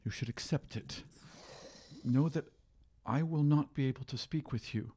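A man's voice: medium pitch, normal speed, mellow, slightly rough, pitched above the performer's own voice, natural voice. A man voicing a grieving, loving and protective mother in a tabletop game, with a trembling delivery. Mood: grave.

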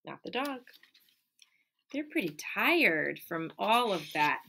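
A woman in her thirties, a teacher reading a picture book aloud to children, animated, with gasps and questions to her young listeners, recorded on a close webcam in a classroom. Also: mid-range voice, slow, creaky. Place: classroom or office with window and colorful wall hanging. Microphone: webcam at close range.

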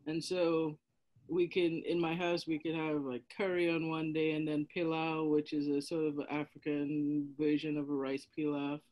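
A woman's voice: low voice, flat delivery, moderate pace, a little mellow, rough recording. A woman from Trinidad with a Trinidadian accent, speaking casually on a video call panel about her family's dishes. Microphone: video call, camera off.

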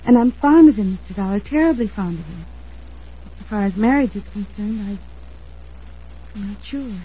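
A woman's voice: mid-range voice, lively intonation, moderate pace, warm tone, slight background noise, close to an everyday voice. An actress playing an anxious, earnest young woman in an old-time radio drama, with dramatic, hesitant delivery. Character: earnest, anxious young woman, defensive about her sweetheart. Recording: dull old radio recording.

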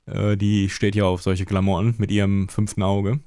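A man's voice: fairly low pitch, flat delivery, moderate pace, warm tone, clear, resonant tone. A young man streaming a game from his home setup through a headset mic, chatting loosely with his viewers and answering their messages. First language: German. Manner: chatty, rambling, reads and answers chat. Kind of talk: casual live-stream chat while gaming. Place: home gaming room. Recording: faint game music underneath.

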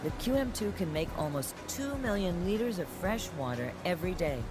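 A man doing measured, scripted narration for a documentary voice-over. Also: sits high, animated. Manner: measured, explanatory. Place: studio voice-over. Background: music.

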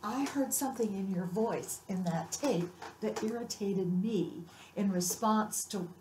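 A woman's voice: mid-range voice, a little slow, darkish tone. A woman speaking frankly and informally as a participant in a group seminar discussion. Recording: echoey room with faint noise.

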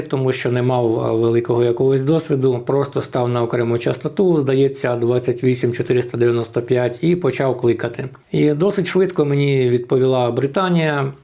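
Creaky-voiced man explaining a radio hobby. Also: mid-range voice, warm tone. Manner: informal, explains step by step. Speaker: amateur radio vlogger. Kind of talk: explanatory tutorial narration.

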